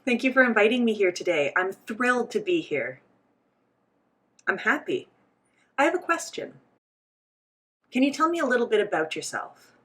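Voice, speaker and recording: Husky-voiced woman interpreting an interview. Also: a little high, very animated, normal speed, pauses often, fairly bright tone. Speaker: voice-over interpreter for a signed interview. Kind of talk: voicing sign language interpretation for both host and guest.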